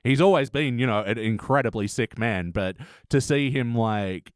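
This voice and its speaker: A man's voice: mid-range voice, moderate pace, clear, resonant tone, no room sound. Casual man hosting a chat, close dry sound. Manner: casual, sweary. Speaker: podcast host.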